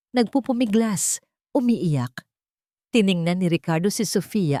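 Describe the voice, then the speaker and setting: A woman's voice: on the low side, a little mellow, dry recording, clean studio sound. A woman reading a scripted dramatic story as its narrator.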